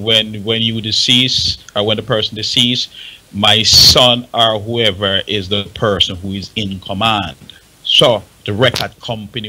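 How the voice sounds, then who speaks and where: A man's voice: on the low side, bright, forceful tone, low-quality recording. A man debating informally on a panel, insistent and emphatic, often repeating his words to make a point. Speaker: talk show panelist. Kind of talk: informal, argumentative panel discussion.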